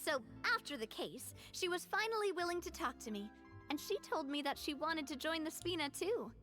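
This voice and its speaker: A woman's voice: high-pitched, expressive, moderate pace, fairly bright tone, faint music behind. A young woman voicing a friendly, assured gang leader in a video game, speaking earnestly.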